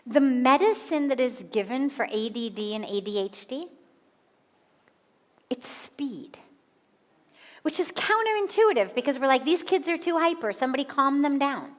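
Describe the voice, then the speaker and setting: Woman in her fifties, American spiritual teacher, higher voice, unhurried teaching in a reverberant hall. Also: lively intonation. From United States. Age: 50s. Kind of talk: satsang talk to an audience.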